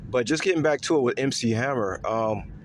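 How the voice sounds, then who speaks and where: A man talking in a casual, rambling monologue to his viewers, repeating himself with lots of fillers and letting his voice swing higher and lower. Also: brisk, a little hiss or hum. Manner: pitch swings up and down. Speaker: vlogger.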